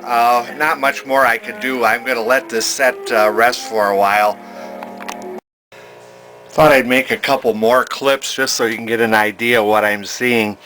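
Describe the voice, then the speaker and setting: An older man giving a casual, unscripted hobbyist demonstration, talking in a folksy, halting way as he thinks aloud. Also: mid-range voice, steady tone, bright, forceful tone, soft background music. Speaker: hobbyist demonstrating a vintage television he repairs.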